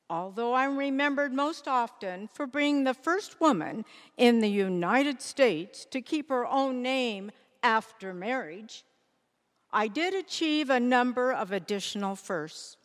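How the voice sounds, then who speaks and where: A woman performing a dignified, resolute nineteenth-century women's rights pioneer in a scripted stage monologue, with measured, deliberate oratory. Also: a little high. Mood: proud, composed. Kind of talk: scripted readers theater monologue. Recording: echoey hall with long reverb.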